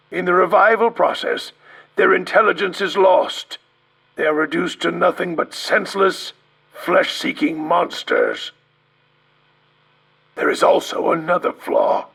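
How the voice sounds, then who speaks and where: An actor playing a distraught researcher in a video game, raspy and gravelly, speaking in a grave, halting, theatrical way. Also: male voice, on the high side, a bit bright, slight background noise, full character voice, poor audio. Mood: panicked, despairing. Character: distraught male researcher recording a grim last testimony. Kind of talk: dramatic video game acting.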